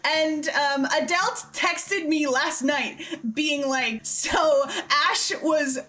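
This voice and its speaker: A woman hosting a casual behind-the-scenes Q&A, chatting and retelling in an animated, giggly way. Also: high voice, slow pace. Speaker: host and creator of an audio drama show. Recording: background music under the voice. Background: soft instrumental game soundtrack music.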